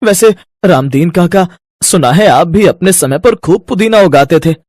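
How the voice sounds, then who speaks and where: An earnest poor village vendor, acted by a man, speaks in a high, very lively voice. He sounds pleading and a little husky, in dramatized storybook style. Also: normal speed, no room sound, clean studio sound. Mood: dejected, puzzled. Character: earnest, troubled poor mint seller. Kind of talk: Hindi moral story voice acting.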